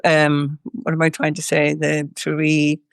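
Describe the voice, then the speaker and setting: A woman's voice: sits low, completely flat delivery, a little slow, gravelly, clear, resonant tone, no echo, studio quality. An older woman from Ireland, a singer interviewed as a guest, talking in a rambling way with many fillers and restarts while chewing gum. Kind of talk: casual interview chat.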